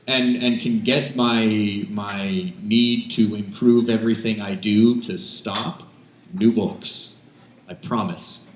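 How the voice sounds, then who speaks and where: A man performing spoken word poetry at an open mic, emphatic when reciting, with self-deprecating, rambling asides. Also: on the low side. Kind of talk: spoken word poetry reading with casual banter between pieces. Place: indoors, small cafe open mic. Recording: roomy live cafe recording with background noise.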